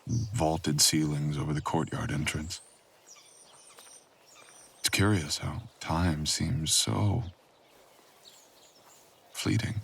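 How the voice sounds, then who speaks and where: A man playing a brooding, possessive, teasing boyfriend in an intimate, unhurried ASMR audio roleplay. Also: very deep voice, level tone, gravelly, a bit bright, a little hiss or hum, full character voice. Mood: wistful, distracted.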